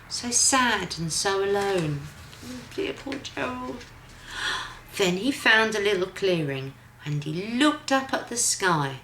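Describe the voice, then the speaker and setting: A woman's voice: mid-range voice, sharp tone. An older woman reading a children's picture book expressively, like a grandmother, with exclamatory asides to a child. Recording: roomy echo, faint background noise.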